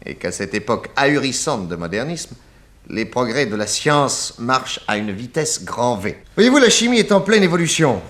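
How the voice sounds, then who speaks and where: Radio drama lead: genial, confident sleuth male actor, expansive and amused, mid-pitched and highly animated. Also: lightly acted. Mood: amused, assured. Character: genial, self-assured American private detective of 1910. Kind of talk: 1960s French radio drama acting.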